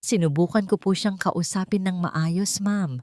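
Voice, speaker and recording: A woman's voice: mid-range voice, fairly even intonation, measured pace, darkish tone, dry recording, close to an everyday voice. A woman narrator plays a scheming Filipino housemaid in a storybook drama. She is deferential and puts on distress for her mistress, in a very dry, close recording. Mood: falsely reluctant.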